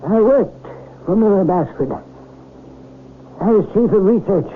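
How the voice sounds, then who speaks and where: An actor playing an earnest, alarmed former research chief giving insistent, ominous warnings in an old-time radio drama. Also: male voice, high voice, animated, takes it slowly, a little hiss or hum. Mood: ominous, agitated. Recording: muffled old radio broadcast recording.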